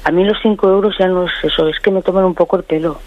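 A woman's voice: on the low side, steady tone, quick pace, phone-quality sound. A woman with an accent from Spain, talking spontaneously on the phone, defensively explaining herself at length. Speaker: prank call victim, customer.

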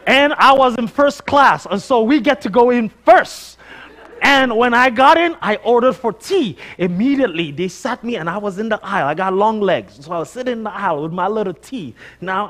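High-pitched Nigerian man preaching. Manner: animated storytelling, emphatic repetition. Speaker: guest preacher. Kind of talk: conversational sermon with personal anecdotes. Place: church sanctuary, on stage before a congregation.

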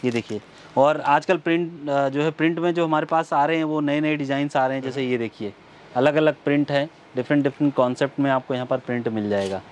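A middle-aged shop owner showing sarees in his store, with a salesman's pitch, recorded on a lapel mic. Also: fairly quick, slight background noise. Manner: salesman's pitch, addresses viewers as friends. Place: large saree store indoors.

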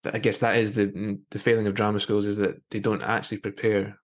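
A man's voice: lowish voice, level tone, brisk. A man in his thirties chatting casually as a podcast host on a video call. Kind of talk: casual interview chat. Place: indoor room with bare wall, on a video call. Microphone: wired earphones on video call.

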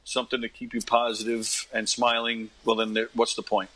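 Middle-aged man, bright voice, medium pitch, casual interview chat, jokey. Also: completely flat delivery, brisk, rough recording. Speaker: podcast guest, comedic actor and performer.

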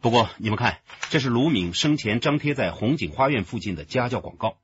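A man acting in a radio drama as a sharp-minded detective laying out case findings. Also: medium pitch, lively intonation, a little fast, dull audio, close to an everyday voice. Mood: explaining.

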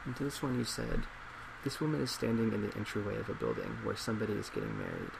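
Male, twenties, ASMR psychologist role play: heavy vocal fry, reflective delivery, loud fan noise. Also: on the low side, fast. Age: 20s. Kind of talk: soft-spoken ASMR role play, reading responses and reflecting. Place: small indoor office at a desk. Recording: steady loud laptop fan hiss.

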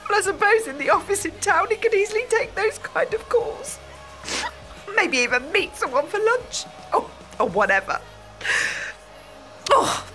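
An actress playing an anxious, apologetic British wife at the hairdresser's in an audio play, hesitant and flustered. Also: female voice, very high voice, very animated, normal speed, fairly bright tone, soft background music, heavily acted, rough recording. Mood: upset, flustered.

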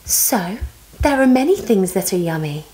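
A woman voicing a kind, gentle garden fairy in a children's story. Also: mid-range voice, big pitch swings, moderate pace, lightly acted, poor audio. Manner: soft, gentle questioning.

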